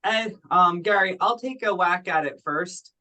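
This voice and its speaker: Man with slightly high voice, casual meeting talk. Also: a little slow, sharp tone. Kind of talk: casual conference-call discussion. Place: teleconference. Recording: online conference call.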